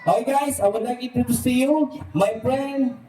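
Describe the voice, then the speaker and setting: A man's voice: extremely high pitch, moderate pace, dark tone, slight background noise. A man working as a ventriloquist host, giving playful, coaxing live comedy patter to an audience. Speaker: ventriloquist entertainer hosting a birthday party show. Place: party venue with guests. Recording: echoey room, distant mic, faint music.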